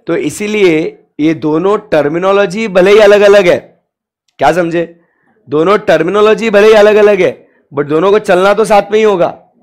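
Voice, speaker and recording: A young man teaches with a sore, hoarse throat in a high voice. He talks slowly and leans hard on drawn-out English phrases. He keeps checking in on the class with rhetorical questions. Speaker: commerce lecturer. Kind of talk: classroom lecture, mixing Hindi and English.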